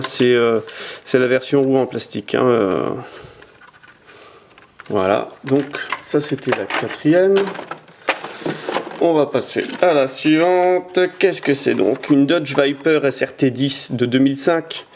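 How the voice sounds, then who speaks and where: A man's voice: mid-range voice, a little slow, slight background noise. A man at home talking to his camera as he shows toy cars from his collection, with frequent hesitations and repeated words. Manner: hesitant, many "euh" fillers and repeated words; handles and turns the cars toward the camera as he talks. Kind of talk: casual unboxing commentary. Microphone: camera mic.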